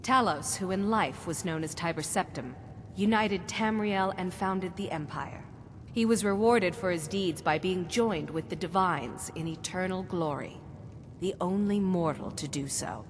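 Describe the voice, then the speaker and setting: A woman's voice: measured pace, close to an everyday voice. A woman playing an earnest, devout priest in a fantasy game, speaking in a solemn, matter-of-fact way. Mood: reverent. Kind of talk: fantasy video game acting. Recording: reverberant hall, faint rumbling noise.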